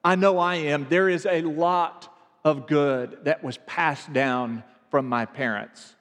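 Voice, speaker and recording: Man, pastor, southern US, sermon, earnest, reflective, unhurried, church hall reverb. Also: a little high, steady tone, fairly bright tone. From American South. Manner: earnest, reflective preaching with rising emphasis.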